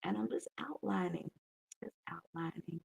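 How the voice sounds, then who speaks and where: Raspy, gravelly woman still waking up. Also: fairly low pitch, no echo, lo-fi sound. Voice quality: raspy morning voice. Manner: soft, unhurried, thinking aloud with fillers. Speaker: coloring channel host. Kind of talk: casual early-morning coloring chat.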